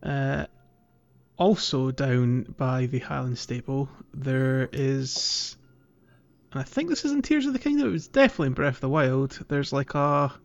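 A man's voice: medium pitch, animated, normal speed, vocal fry, no room sound, muffled recording. A man co-hosting a gaming podcast in casual chat, hesitating as he searches for words. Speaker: podcast co-host.

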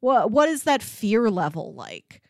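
A woman's voice: fairly high pitch, very animated, measured pace, dry recording, studio quality. A woman podcast co-host in casual film discussion, thinking aloud and talking through examples in a conversational way.

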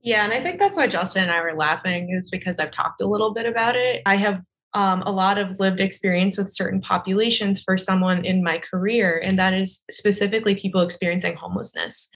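A woman's voice: mid-range voice, brisk, hardly any pauses, fairly bright tone. An American woman giving a conversational interview answer in an articulate, earnest and emphatic manner. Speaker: physical therapy clinician.

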